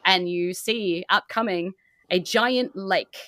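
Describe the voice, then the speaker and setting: A woman's voice: mid-range voice, slow, fairly bright tone, dry recording, studio quality. A woman improvising narration as the game master of a tabletop roleplaying game, in an informal way.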